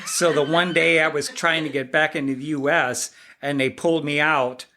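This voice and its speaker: A man's voice: fairly high pitch, fairly even intonation, moderate pace, sharp tone, slight background noise. American guy in his 60s, modest musician type just chatting about his life, kinda self-deprecating. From born in Germany, grew up in southern Michigan, USA. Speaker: musician, interview guest. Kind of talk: casual conversational storytelling.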